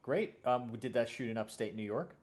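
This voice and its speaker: A man hosting a casual interview, speaking in a halting way and often rephrasing mid-sentence. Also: medium pitch, animated, a little mellow. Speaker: host.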